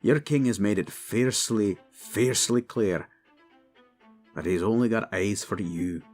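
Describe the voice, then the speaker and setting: Dwarf king and master smith , played by a man: low-voiced, gruff, blunt and proud, unhurried, fantasy audio drama over music. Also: darkish tone. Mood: proud, matter-of-fact. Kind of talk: fantasy audio roleplay acting.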